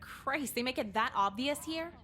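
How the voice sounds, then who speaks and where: A woman voicing a jaded, sarcastic teenage girl in a visual novel game, deadpan and caustic. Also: sits high, moderate pace. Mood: scornful. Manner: deadpan, caustic, foul-mouthed.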